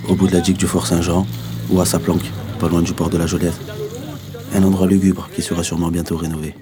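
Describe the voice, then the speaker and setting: A man's voice: low voice, dark tone, slight background noise, lightly acted. A male actor playing a tender, resigned young narrator, telling his story in an understated, intimate first-person radio drama style. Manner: reflective, understated. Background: music.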